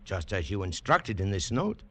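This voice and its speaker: A man's voice: deep voice, very animated, moderate pace, sharp tone, exaggerated voice. A man playing a polite, obliging South American hotel clerk with formal manners in a 1940s radio drama. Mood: puzzled, courteous. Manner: courteous, formal.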